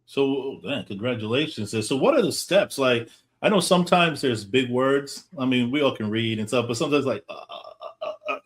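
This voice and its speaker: A male podcast host speaks from his home. His voice sits at a mid pitch, and he asks questions in a chatty, upbeat way, often repeating himself and laughing along. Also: lively intonation, normal speed. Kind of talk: casual chat.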